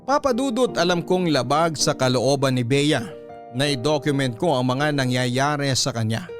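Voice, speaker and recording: An actor playing a young male vlogger, narrating his story in a measured Filipino radio drama style. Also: mid-range voice, natural voice.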